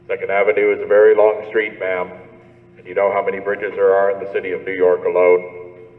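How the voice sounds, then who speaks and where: A male actor as a stolid police sergeant on a thin phone line in a radio thriller. His lowish voice is placating yet brusque and patronizing, with a long echo around it. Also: completely flat delivery, fairly quick. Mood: skeptical. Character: unhurried, brusque, dismissive police desk sergeant. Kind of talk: suspense radio play acting. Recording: tinny phone-like band, echoey hall.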